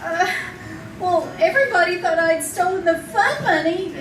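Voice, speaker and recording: A woman playing an excitable, grateful young woman who gushes in a 1940s-style radio drama. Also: extremely high pitch, normal speed, background noise. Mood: gushing relief. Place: live theater stage. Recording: echoey, distant stage with music.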